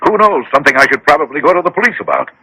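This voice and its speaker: A man's voice: a little high, big pitch swings, racing, pushed and bright, breathy edge, exaggerated voice, rough recording. An actor playing a wealthy, anxious, defensive man obsessed with the occult, insistent and dramatic, in radio drama style. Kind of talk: 1980s radio drama acting. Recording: old radio drama broadcast.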